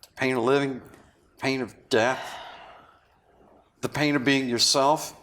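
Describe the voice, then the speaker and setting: A remorseful man, played by an actor at a script read-through speaks at a middle pitch with earnest, halting phrasing. He sounds confessional and philosophical, as in a stage drama. The recording has clear background noise. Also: steady tone, a bit bright, lightly acted. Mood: earnest, pondering. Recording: clearly noisy room recording.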